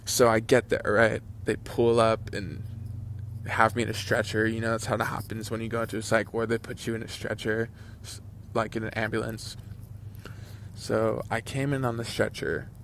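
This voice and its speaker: Guy in his twenties rambling thru a story time, low flat voice, lots of um and like. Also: moderate pace, gravelly, a little hiss or hum. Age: 20s. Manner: rambling, with many fillers and restarts. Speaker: channel host telling a story. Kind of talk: casual story time over gameplay.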